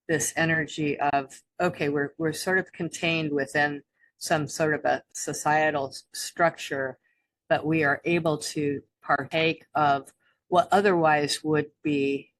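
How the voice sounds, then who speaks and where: Slow low-voiced woman, halting. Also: rough recording. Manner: halting, many restarts, soft laughs. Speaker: co-host. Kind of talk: spiritual teaching chat.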